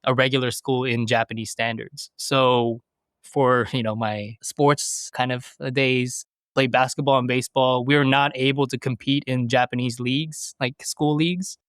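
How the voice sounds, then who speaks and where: A man's voice: mid-range voice, fairly even intonation, moderate pace, fairly bright tone, clean tone, dry recording. A man in his thirties from the United States, a podcast guest answering questions thoughtfully with frequent fillers and restarts. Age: 30s. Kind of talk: conversational interview.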